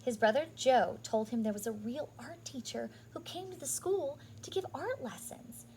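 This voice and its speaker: Higher-pitched woman reading a children's story. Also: animated, normal speed, a little mellow, slight background noise. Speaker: childcare educator reading a picture book. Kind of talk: expressive read-aloud storytelling for young children.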